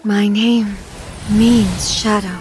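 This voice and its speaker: An actress playing a solemn, imperious female god ruler in a video game, speaking in a measured, formal and grave way. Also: mid-range voice, steady tone, darkish tone, soft background music. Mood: grave, mournful. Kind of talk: dramatic video game voice acting.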